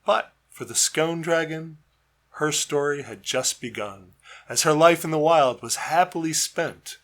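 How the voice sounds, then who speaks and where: A man's voice: fairly high pitch, unhurried, fairly bright tone. Man reading a narrative poem aloud. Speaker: poet reading own work. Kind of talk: scripted poetry reading, storytelling narration.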